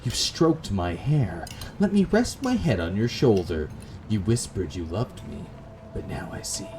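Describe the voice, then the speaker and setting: Obsessive, lovesick young woman acted by a man, intense and clingy, low-set voice with lively swings, gothic horror game. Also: a little slow, over music. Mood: hurt, possessive. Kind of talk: gothic horror visual novel dialogue.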